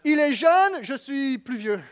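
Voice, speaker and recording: High-voiced Quebec French preacher. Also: male voice, lively intonation, slow pace. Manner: emphatic, repetitive, swings between loud declaiming and quiet intimacy. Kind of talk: sermon.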